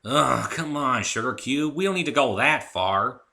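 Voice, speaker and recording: A man's voice: medium pitch, expressive, measured pace, creaky, sharp tone, pitched above the performer's own voice, exaggerated voice. A man voicing a hearty, stubborn young farm mare, a folksy cartoon pony with a Southern country accent, in a story reading. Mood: annoyed.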